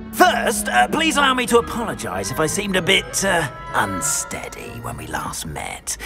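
Flustered, wildly animated castle caretaker. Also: male voice, fairly high pitch, full character voice. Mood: apologetic, eager. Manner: hesitant, fussy, effusive. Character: flustered, eager middle-aged castle caretaker. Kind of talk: video game cutscene acting.

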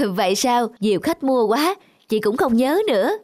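Friendly cartoon shopkeeper. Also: female voice, fairly high pitch, expressive, normal speed, darkish tone. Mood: amused, grateful. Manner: friendly, reassuring. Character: kindly, easygoing female shopkeeper. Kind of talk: animated moral story dialogue.

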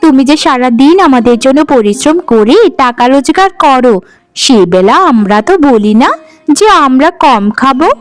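A woman's voice: high voice, lively intonation, normal speed, no room sound, soft background music. Woman doing a chirpy cheeky village girl. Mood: affectionate. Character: cheeky young village girl, lively and affectionate. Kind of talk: cartoon voice acting.

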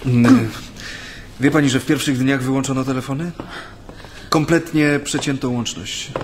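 An actor playing a reserved Japanese interpreter in exile in a naturalistic radio drama, speaking politely with hesitant pauses. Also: male voice, mid-range voice, fairly even intonation, slight background noise, lightly acted. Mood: uneasy. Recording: studio radio drama with music.

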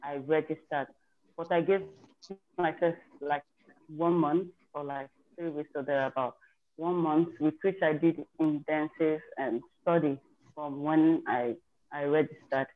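A woman's voice: deep voice, fairly even intonation, measured pace. A woman speaking over a video call, her voice thin and phone-like. She tells her story in a candid, chatty way, circling back to fix her wording as she goes. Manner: chatty and candid, with self-corrections. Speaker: participant sharing her exam success story.

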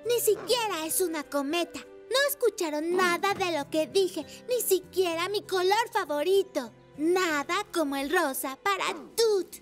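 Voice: very high-pitched, measured pace, hardly any pauses, a bit bright. An actor voicing a headstrong, sweet but sulky little girl motorcycle in a children's cartoon. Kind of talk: children's cartoon dialogue in Spanish. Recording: children's music behind the voice.

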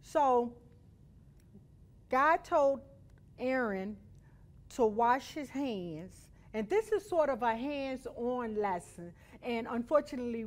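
A middle-aged woman teaching a Bible lesson to children in an instructive, emphatic way, often checking in with short tag questions. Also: fairly high pitch. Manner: instructive, emphatic, with check-in tags like "right?" and "okay?". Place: indoor studio or church room.

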